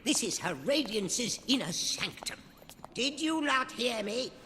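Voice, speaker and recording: A man's voice: very high-pitched, big pitch swings, unhurried, very noisy, heavily acted. An actor voicing a haughty, indignant servant guarding a witch in a fantasy game. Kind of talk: dramatic fantasy game voice acting. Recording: hall reverb under very loud music.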